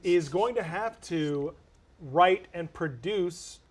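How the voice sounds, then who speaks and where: A male talk show host with a fairly high voice leads a panel discussion. He builds his questions slowly and with care. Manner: poses long, deliberate questions to guests.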